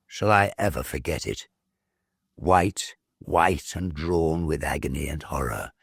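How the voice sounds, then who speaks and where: A man reading a ghost story as dramatic narration, theatrical, measured and full of suspense. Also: extremely low pitch, creaky, breathy edge, no room sound, studio quality.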